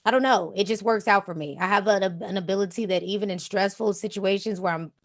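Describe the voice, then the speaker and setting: Relaxed woman with a slightly raspy voice. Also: mid-range voice, fast. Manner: relaxed, flowing, says "you know what I'm saying" often. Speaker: DJ and Twitch streamer, guest. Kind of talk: casual interview chat.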